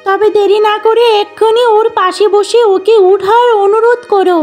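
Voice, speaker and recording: A woman's voice: very high voice, fairly even intonation, normal speed, darkish tone, soft background music, pitched above the performer's own voice. A woman voicing a sorrowful, repentant witch who pleads in a cartoon fairy tale. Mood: remorseful, urgent.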